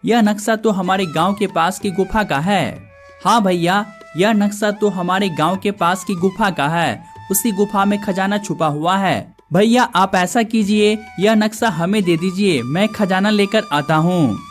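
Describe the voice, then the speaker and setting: A man's voice: high-pitched, a little slow, faint music behind. Man voicing cartoon village brothers. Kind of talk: cartoon dialogue acting.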